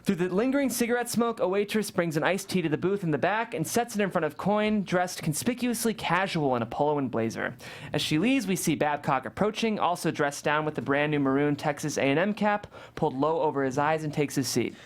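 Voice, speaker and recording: A man's voice: fairly high pitch, lively intonation, fairly quick. A man reading stage directions aloud as scripted narration at a table read of a screenplay.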